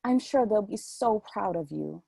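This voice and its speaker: A woman acts out a scheming young woman in a stage play reading. She is sassy and mock-sweet, with a husky edge and very animated pitch in a close, dry sound. She taunts as if she holds all the cards. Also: unhurried, no echo, full character voice. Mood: taunting, smug. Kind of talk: readers theatre play reading.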